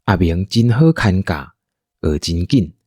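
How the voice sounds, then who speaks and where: A man with a Taiwanese accent narrating a scripted story as an audio drama narrator, in a husky voice. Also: low-pitched, animated, measured pace, darkish tone, no echo, studio quality.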